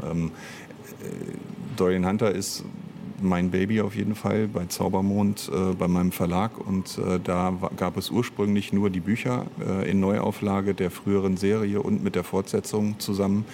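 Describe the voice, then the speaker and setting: A man chats as a guest in a casual interview. His voice is fairly low and he explains things reflectively, feeling his way through phrases, with faint noise in the recording. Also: flat delivery, moderate pace, mellow. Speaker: interview guest, audio drama writer and producer.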